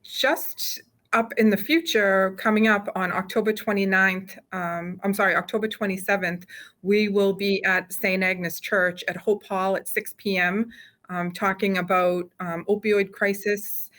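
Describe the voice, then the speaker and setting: A woman speaking as a police chief in an interview, halting often with ums as she explains things. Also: medium pitch, flat delivery, fairly bright tone. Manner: hesitant, halting delivery with frequent ums. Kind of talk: interview answers.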